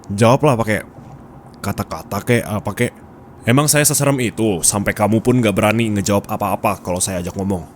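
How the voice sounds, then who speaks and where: A man's voice: lowish voice, brisk, natural voice. A man playing a bossy, nagging office boss in an ASMR roleplay, scolding and teasing bluntly in colloquial speech with a Jakarta Indonesian accent. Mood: exasperated. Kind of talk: intimate ASMR roleplay acting. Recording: steady background ambience noise.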